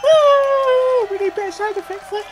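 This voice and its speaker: A man hosting a reaction video, rambling casually and excitably, stammering and repeating himself as he goes. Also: very high voice, unhurried. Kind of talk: casual off-the-cuff reaction commentary.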